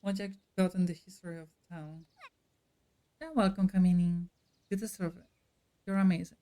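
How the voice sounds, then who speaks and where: A woman streamer chatting casually with her viewers in a friendly, unhurried way while playing a game. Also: fairly low pitch, fairly even intonation, warm tone.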